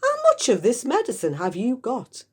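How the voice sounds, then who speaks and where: Storybook farmer father, small and frantic, raised pitch, swooping delivery, exclaims everything female reader performing. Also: huge pitch swings, moderate pace, husky, full character voice. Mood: eager, bossy. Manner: exclamatory, shouting in bursts. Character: excitable small farmer father, easily worked up.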